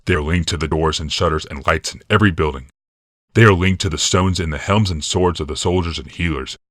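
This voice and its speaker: A man voicing an imperious, calculating male military ruler in audiobook dialogue, measured, commanding and condescending. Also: sits low, fairly bright tone, no echo, studio quality. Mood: proud, calculating.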